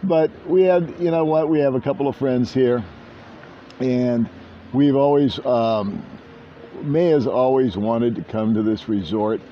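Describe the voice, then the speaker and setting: A man's voice: mid-range voice, a little slow, slight background noise. Brief: older male vlogger, rambling chatty delivery, dull lo-fi camera mic outdoors. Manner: rambling, chatty, self-deprecating. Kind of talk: casual vlog chat. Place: outdoor beach resort. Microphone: handheld small camera.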